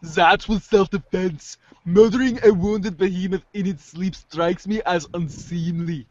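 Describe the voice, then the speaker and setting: A dull amateur recording holds a man's performance of an arch teenage girl. The voice sits high, with a deadpan, wordy and sardonic delivery, as in a reader's theater. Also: a little slow, dry recording. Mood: dry.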